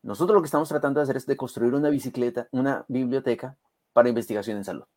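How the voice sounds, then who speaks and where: A man's voice: mid-range voice, racing, darkish tone, no echo. Emphatic man explaining, dry close sound. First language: Spanish. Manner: explanatory, emphatic, rhetorical check questions. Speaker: interview guest, community health program advocate. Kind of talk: conversational explanation.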